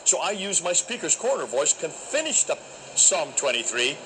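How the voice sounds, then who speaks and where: A man recounting a debate in an animated, emphatic, preacherly way, with a naturally loud voice. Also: on the high side, normal speed, slight background noise, poor audio. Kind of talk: storytelling talk.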